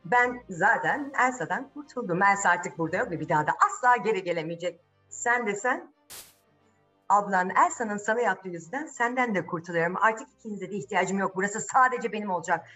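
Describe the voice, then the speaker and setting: A man's voice: sits high, expressive, fairly quick, sharp tone, faint music behind, low-quality recording. A woman voicing a cold, scheming young prince in a children's fairy tale, dismissive and menacing.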